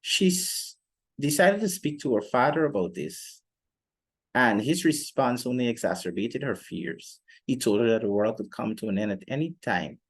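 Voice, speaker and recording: Young adult male, medium pitch, literature-teacher type: formal, measured phrasing, explaining to camera. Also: animated, husky. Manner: formal, deliberate phrasing with frequent pauses. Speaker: literature teacher presenting a lesson. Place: indoor room, seated before a plain wall.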